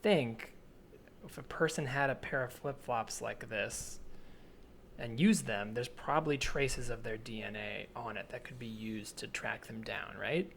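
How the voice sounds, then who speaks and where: A man's voice: medium pitch, unhurried, vocal fry, fairly bright tone. A man improvising a comedy scene as a sheepish, obsessive man asking a favour, rambling and hesitant. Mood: sheepish, earnest. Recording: remote recording with faint background noise.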